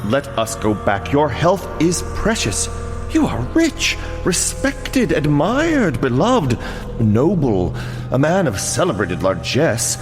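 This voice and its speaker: A man's voice: on the high side, wildly swooping pitch, takes it slowly, exaggerated voice. An actor playing a scheming, falsely solicitous nobleman in a gothic radio drama, with a theatrical, obsequious and coaxing manner. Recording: long hall reverb, music underneath.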